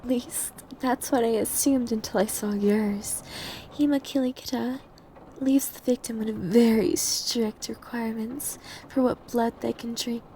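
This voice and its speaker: Over faint hiss and noise comes an obsessive, hungry vampire woman, played by a woman, in an intimate ASMR roleplay. Her voice is soft-toned and mellow with heavy fry, breathy and prone to whispering, while staying domineering and possessive. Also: fairly high pitch, steady tone, measured pace, poor audio. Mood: rapt, craving. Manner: breathy intimate delivery, often dropping to whispers. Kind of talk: ASMR roleplay audio drama.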